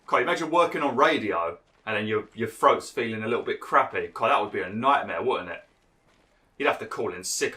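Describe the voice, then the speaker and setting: A young man doing chatty, rambling gameplay commentary, a little hoarse and nasal from being ill. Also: on the low side, pushed and bright. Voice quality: slightly nasal, froggy throat. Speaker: gaming YouTuber.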